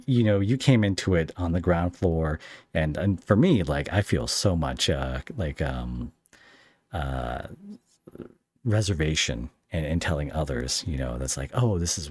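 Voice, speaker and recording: Low-voiced man hosting a casual interview. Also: a little mellow, breathy edge, no echo. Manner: halting, searching phrasing with trailing questions. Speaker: podcast host interviewing a guest.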